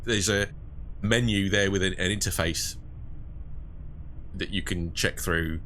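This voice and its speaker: Bright-voiced man running the game. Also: deep voice, steady tone, a little hiss or hum. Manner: measured, explanatory. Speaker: game master. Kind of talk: running a sci-fi tabletop game.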